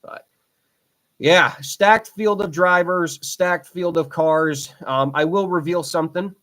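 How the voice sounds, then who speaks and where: A young man in his twenties talks through racing news on his own podcast in a loose, rambling way, circling back on his points and filling gaps with ums. His voice sits a little high for a man. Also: slow pace, fairly bright tone. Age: 20s. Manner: rambling, repeats himself, frequent fillers. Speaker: podcast host. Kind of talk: casual solo podcast commentary.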